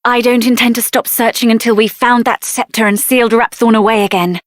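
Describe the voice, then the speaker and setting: An actress performs a headstrong young adventure heroine with a high-pitched voice, recorded dry and close. She is spirited and earnest, speaking her lines expressively and plainly, as a fantasy video game character. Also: fairly even intonation, a little fast, no room sound, natural voice. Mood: somber, resolute.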